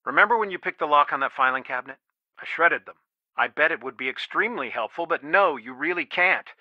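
A man's voice: fairly high pitch, lively intonation, a little fast, no room sound, dull audio. A man voicing a stern, worried middle-aged father and lawyer in a video game, earnest, firm and protective. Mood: angry, firm. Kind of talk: video game voice acting, one side of phone conversations.

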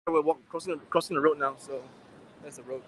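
A man's voice: a little high, fairly even intonation, brisk, rough recording. A man in his forties hosting a casual livestream while walking a busy city street, chatting and reading viewer comments, filmed on a phone at arm's length. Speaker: livestream host. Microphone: gimbal-mounted phone at arm's length. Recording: faint street noise.

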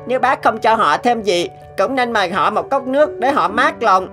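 A woman's voice: on the high side, lively intonation, moderate pace, fairly bright tone, faint music behind. A woman voicing an earnest, outspoken young boy in a cartoon, polite but reproachful. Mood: earnest, instructive. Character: earnest, outspoken nine-year-old boy. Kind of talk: animated moral tale dubbing.

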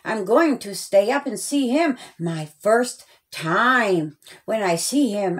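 A woman's voice: high voice, heavily acted. A woman voicing a friendly, eager young boy in a children's storybook, earnest and sing-song.

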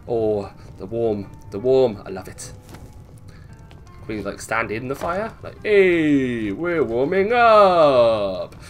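A man giving casual, chatty gameplay commentary, thinking aloud playfully and swearing freely. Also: mid-range voice. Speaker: gaming video host.

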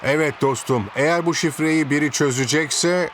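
An actor voicing a laid-back cartoon footballer with a relaxed drawl. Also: male voice, on the high side, moderate pace, slight background noise, natural voice. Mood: easygoing. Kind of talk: animated cartoon dub acting. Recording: music behind the voice.

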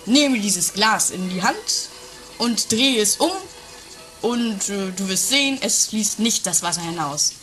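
An earnest, somewhat pompous instructor played by a woman with a mid-range voice. She gives haltingly placating directions in an improvised comic audio play, with music clearly heard behind her. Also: medium pitch, lively intonation, fairly bright tone. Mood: patient, insistent.

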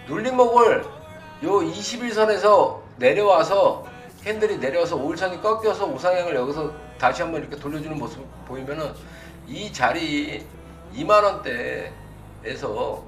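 A middle-aged man giving live stock commentary as a host, blunt and informal, often putting quick questions to his viewers. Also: on the high side, a bit bright. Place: indoor desk at a computer, livestream setup. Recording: roomy, distant mic, faint music behind.

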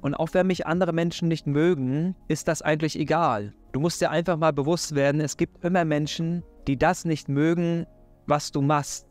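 A man's voice: a little high, steady tone, moderate pace, a little mellow, faint music behind. A man in his thirties from Hamburg with a Hamburg German accent, giving an earnest, encouraging faith talk straight to camera in a living room. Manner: earnest, encouraging, direct address. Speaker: faith and self-help YouTuber. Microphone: desk microphone in front.

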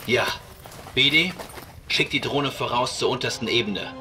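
A man's voice: medium pitch, a little slow, sharp tone, soft background music. A man playing a resolute starship captain in a sci-fi audio drama, firm and commanding.

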